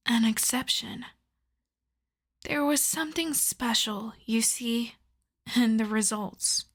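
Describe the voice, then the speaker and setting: A woman playing a polite, coyly obsessive vampire lab technician in an ASMR roleplay, speaking softly and courteously, often breathily, straight to the listener. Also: a little high. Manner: soft-spoken, courteous, measured, often breathy.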